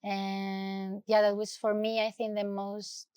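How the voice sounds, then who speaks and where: Soft-spoken Ecuadorian woman, hesitant. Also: medium pitch, monotone, slow pace, clear, resonant tone, no echo, studio quality. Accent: Ecuadorian Spanish. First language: Spanish. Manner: soft, hesitant, searching for words. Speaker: guest. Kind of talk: personal story in an interview.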